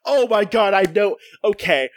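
A man with a notably high voice chats casually on a podcast, teasing and rambling through lots of fillers. The recording is dry and close, with no room sound. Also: animated, moderate pace, no room sound. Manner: playful, rambling with many fillers. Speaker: podcast co-host. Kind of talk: casual chat.

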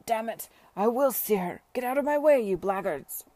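A woman voicing a drunk, desperate, hot-tempered young gentleman with wailing, plaintive outbursts in a period romance audiobook. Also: fairly high pitch, expressive, normal speed, no echo, close to an everyday voice. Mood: furious.

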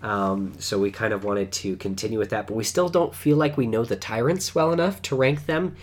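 Man, low voice, stuffy nose, casual rambling podcast talk. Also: a little fast. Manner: relaxed, rambling with many fillers. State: stuffy from a cold. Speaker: podcast co-host. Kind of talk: casual chat.

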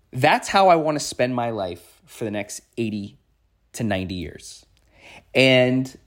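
A man in his thirties with an American accent, giving an impromptu motivational podcast monologue as a life coach, repeating phrases for emphasis. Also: mid-range voice. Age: 30s.

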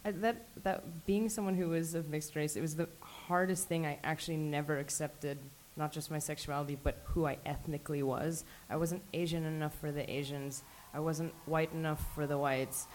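Woman panelist, very low voice, open and personal, light hiss behind. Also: fairly even intonation, moderate pace, hardly any pauses, darkish tone, poor audio. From Filipino and English parentage. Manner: candid, personal. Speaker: panelist, works in film. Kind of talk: panel discussion.

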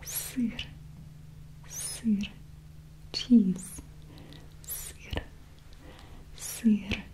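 A woman with a Russian accent, a native Russian speaker, softly whispering a patient lesson on the Russian alphabet, repeating each sound. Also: medium pitch, fairly even intonation, very slow. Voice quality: soft whisper. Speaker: language teacher.

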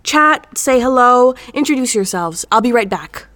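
Casting: polite, brisk female receptionist, animated and fairly high, audio comedy. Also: a little hiss or hum. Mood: brisk, welcoming. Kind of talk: comedic audio drama acting.

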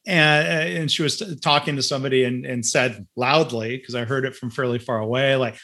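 A man with a mid-pitched voice moderates a webinar in a relaxed way, filling his sentences with easy "um"s. He sounds unhurried and friendly in tone. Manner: relaxed, many fillers. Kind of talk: webinar conversation.

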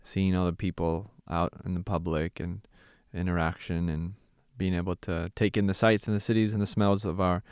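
A man in his thirties from California, a missionary telling his story in a relaxed podcast conversation. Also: sits low, moderate pace, few pauses, clear, resonant tone, no room sound, clean studio sound. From California, USA. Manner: earnest, reflective storytelling. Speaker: missionary, ministry partner.

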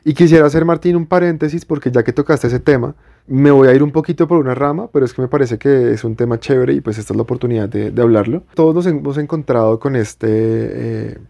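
A man's voice: mid-range voice, quick pace, vocal fry, mellow. A young man from Bogotá with a Bogotá accent, co-hosting a podcast and explaining his views at length in a reflective, casual way. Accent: Bogotá Colombian Spanish. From Colombia. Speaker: podcast co-host. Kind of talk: casual chat.

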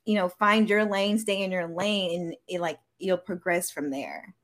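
A woman's voice: fairly low pitch, steady tone, normal speed. A woman hosting a casual interview, chatting informally in an affirming, empathetic way. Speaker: interviewer, show host. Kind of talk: casual chat.

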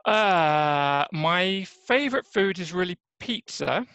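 A man's voice: high voice, lively intonation, slow pace, pushed and bright, clear, resonant tone, dry recording, highs cut off. A man with an English accent, a podcast guest chatting casually and hesitantly with many ums. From England. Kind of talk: casual interview chat.

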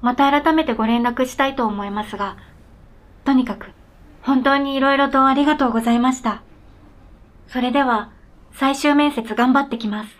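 A high-voiced, earnest snowmobile that has learned to talk , played by a woman. It speaks in formal, polite sentences with a matter-of-fact manner, as in radio drama dialogue. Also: moderate pace, natural voice. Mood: polite, eager. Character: earnest, polite talking snowmobile with its own identity.

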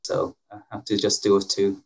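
Man from bangladesh, podcast host, low voice, friendly, self-deprecating, halting restarts. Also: monotone, vocal fry. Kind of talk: conversational podcast interview.

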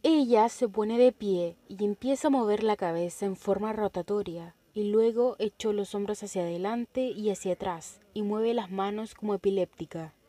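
A woman reading stage directions aloud for a radio play in an even, measured way. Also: medium pitch, no room sound. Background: faint jazz music.

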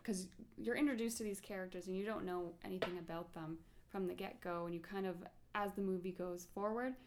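Low-voiced woman chatting about a film. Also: a little mellow. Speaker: podcast co-host. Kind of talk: casual film discussion.

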